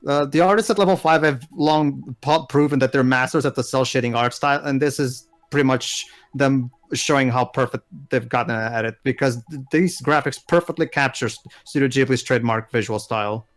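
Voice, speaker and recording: Man, mid-pitched, let's play commentator, explaining the game, faint music underneath. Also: a little fast, few pauses. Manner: explains the game's background and story.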